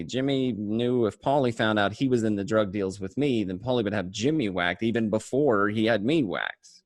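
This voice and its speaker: A man's voice: fairly low pitch, no room sound, clean studio sound. A man playing a desperate, paranoid small-time gangster, stammering and swearing, in an amateur script read. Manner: stammering, repeating, profane.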